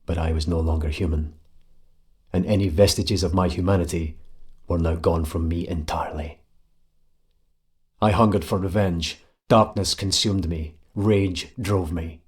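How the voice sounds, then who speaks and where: A man playing a grim, tormented warrior in a video game, speaking in a solemn, brooding and deliberate way. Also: low voice, a little mellow. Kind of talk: dramatic video game acting and narration.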